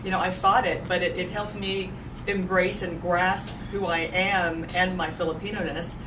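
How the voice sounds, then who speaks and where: A woman's voice: on the low side, little pitch movement, normal speed. An American woman speaking informally and emphatically on a discussion panel, repeating phrases for effect. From suburban Dallas, USA. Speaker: news anchor on a discussion panel. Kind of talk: animated, informal panel talk. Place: event room at a live panel discussion. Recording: noisy, echoey room, distant mic.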